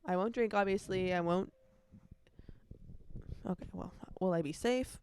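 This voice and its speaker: A woman's voice: medium pitch, measured pace, a little mellow, a little hiss or hum. A nineteen-year-old woman chatting casually on a solo podcast, rambling and correcting herself, with youthful slang and emphatic repetition. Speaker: podcast host.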